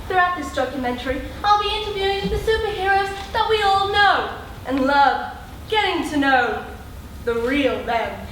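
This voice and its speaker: High, echoing stage reporter acted by a woman. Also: expressive, moderate pace, a bit bright. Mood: bright, presenting. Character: chirpy female TV reporter making superhero documentary. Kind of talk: comic stage presenting, projected. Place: live stage performance in a hall. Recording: very echoey hall, distant mic, audience noise.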